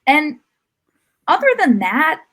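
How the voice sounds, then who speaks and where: A woman's voice: sits high, sing-song, slow, a bit bright. A woman co-hosting a podcast, talking sports in a casual, analytical way. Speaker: podcast co-host.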